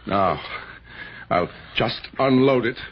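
An actor playing a self-assured, placating silent-movie star in 1960s radio drama style, commanding and reassuring. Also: male voice, medium pitch, expressive, slow pace. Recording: muffled, hissy old radio broadcast.

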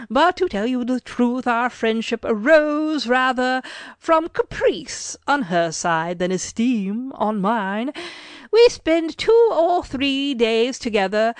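A woman giving a measured, arch and expressive dramatic reading of an old literary letter as an audiobook. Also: fairly high pitch, smooth, dry recording. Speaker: audiobook reader.

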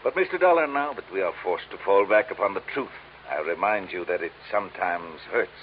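A man's voice: low-pitched, lively intonation, a little fast. An actor playing a pompous, falsely courteous male villain with florid, formal phrasing in an old radio drama. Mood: coolly menacing. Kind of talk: 1950s radio drama acting. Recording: hissy, dull old radio recording.

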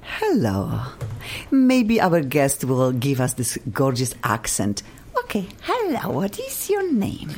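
A woman's voice: sits low, huge pitch swings, slow pace, a little mellow, slightly rough. A woman from Poland with a Polish accent, hosting a radio talk show in a bubbly, effusive way and laughing often.

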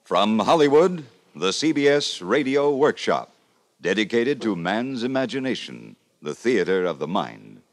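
A man announcing a 1950s radio drama from a script, in a dramatic, measured delivery. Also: on the low side, very animated.

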